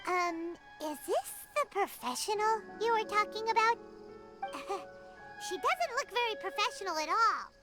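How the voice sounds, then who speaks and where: A very high-pitched little fairy girl in a video game, chattering with lively, swooping intonation over loud music. She is naive, inquisitive and quick to exclaim. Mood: doubtful. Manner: exclamatory, inquisitive, speaks of self in third person. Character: tiny excitable childlike floating fairy companion girl, naive and chatty. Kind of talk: English video game voice acting.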